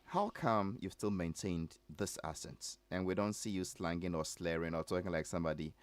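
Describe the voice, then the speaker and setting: A man's voice: low voice, moderate pace, no echo. A man hosting a radio talk show, teasing his panel and often laughing. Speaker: radio entertainment show host. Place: radio studio. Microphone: studio microphone.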